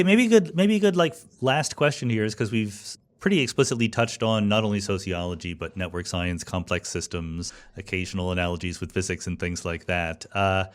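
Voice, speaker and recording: A man's voice: low-pitched, clear, resonant tone. An American man hosting a podcast, asking questions in a thoughtful, informal, conversational way. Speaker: podcast host. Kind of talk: conversational interviewing.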